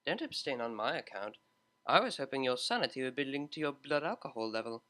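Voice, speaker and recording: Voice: medium pitch, expressive, fairly quick, fairly bright tone, lightly acted. A reader voicing a refined young female cellist who speaks in formal, precise phrasing. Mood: wry. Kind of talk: fan fiction reading, character voice.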